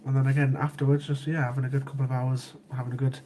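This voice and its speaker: A man's voice: medium pitch, level tone, very fast, mellow, slight background noise. Sniffly, chatty man. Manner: chatty, repeats himself, laughs easily. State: sniffly with a cold. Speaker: livestream host. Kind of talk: casual chat with live chat viewers.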